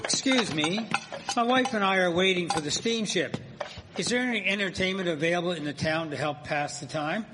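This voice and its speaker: A man acting a courteous, reassuring, formally spoken Victorian gentleman in a live radio drama. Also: sits high, brisk, lightly acted. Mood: polite, reassuring. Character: polite, genteel Victorian husband visiting town, reassuring. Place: performing arts centre stage. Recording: live stage, slight ambient noise.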